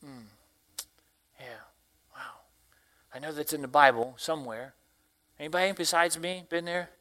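A middle-aged man from Tennessee preaches in a Southern accent, his voice high and bright. He talks in a folksy, repetitive way and keeps asking the congregation questions. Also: moderate pace. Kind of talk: sermon.

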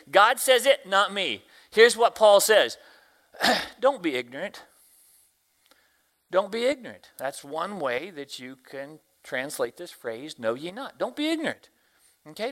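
A middle-aged man from Tennessee preaches in a Southern accent, his voice high and bright. He talks in a folksy, repetitive way and keeps asking the congregation questions. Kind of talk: sermon.

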